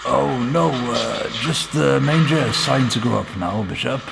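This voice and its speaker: An actor in a radio play as an earnest, middle-aged father and churchman, speaking gravely and formally of coming evil. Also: medium pitch, unhurried, noisy background. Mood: polite.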